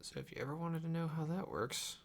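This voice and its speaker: A man's voice: a little high, sing-song, brisk. A young man giving casual, offhand livestream commentary while he works at a computer, often muttering to himself. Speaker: video editor and streamer. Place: indoor room at a computer desk. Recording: clear background clicking and typing noise. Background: mouse clicks and keyboard typing.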